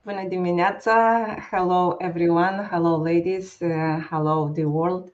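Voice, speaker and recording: Woman, Moldovan accent, deep flat voice, halting, dull sound. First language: Romanian. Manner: halting, with many hesitations. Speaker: guest. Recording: slightly muffled stream audio.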